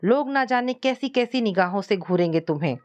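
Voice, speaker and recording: A woman's voice: medium pitch, animated, a little fast, darkish tone, muffled recording, natural voice. Woman reading as an irritable, controlling husband. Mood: possessive, curt. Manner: scolding, dismissive. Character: irritable, suspicious, controlling husband, adult man.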